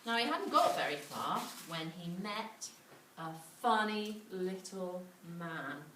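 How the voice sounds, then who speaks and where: A woman's voice: lowish voice, very animated, slow pace. A woman telling a children's story interactively and dramatically, recorded at a distance in a roomy space with faint noise. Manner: dramatic, with repetition and questions to listeners.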